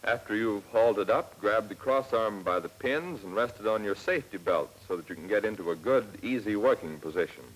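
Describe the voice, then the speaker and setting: An American man narrates a 1940s army training film with a lowish, rough-edged voice. He instructs step by step in a plain, measured way. The sound is that of an old film soundtrack. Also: rough recording. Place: scripted voice-over for film.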